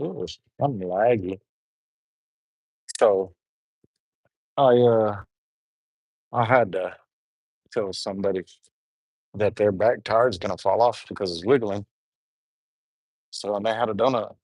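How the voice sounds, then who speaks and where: A young man with a Southern drawl chats loosely to his live stream viewers and keeps calling them gang. His voice sits fairly low and sounds close and dry, with no room around it. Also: takes it slowly, long pauses, a little mellow, no room sound, low-quality recording. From Alabama. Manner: rambling, chatty, addresses viewers as gang. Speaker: livestreamer. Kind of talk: casual live stream chat. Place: on the road and in a store.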